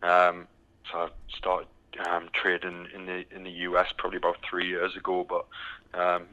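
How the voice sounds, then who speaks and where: A man's voice: sits low, monotone, moderate pace, bright, forceful tone, muffled recording. A British man from the UK, a recruitment firm founder answering interview questions with many ums and restarts.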